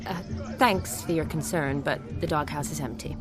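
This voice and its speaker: A woman's voice: low-pitched, moderate pace, natural voice. Woman acting a composed, wry young game companion. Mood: gentle, candid. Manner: polite, gently teasing. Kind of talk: video game character acting. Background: music.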